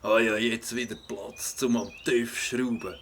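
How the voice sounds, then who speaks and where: An actor playing a gruff, mocking bully with a creaky voice and a Swiss German accent in a children's audio drama. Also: male voice, medium pitch, fairly even intonation, a little hiss or hum, close to an everyday voice. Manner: mocking, slow, dismissive. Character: jeering, gruff male bully and tinkerer with cars.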